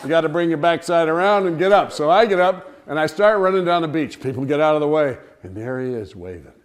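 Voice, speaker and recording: A man telling a humorous personal anecdote to listeners in an animated, theatrical way. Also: on the high side, fast. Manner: animated, theatrical storytelling with acted-out cries and dialogue. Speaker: storyteller, speaker to an audience.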